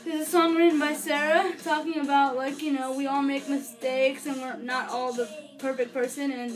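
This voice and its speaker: High-pitched young woman, earnest fan vlogging. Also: flat delivery, normal speed, faint music behind, low-quality recording. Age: young adult. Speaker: vlogger, fan album reviewer. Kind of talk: casual unscripted review to camera. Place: small furnished bedroom, indoors. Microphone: camera or webcam a few feet away.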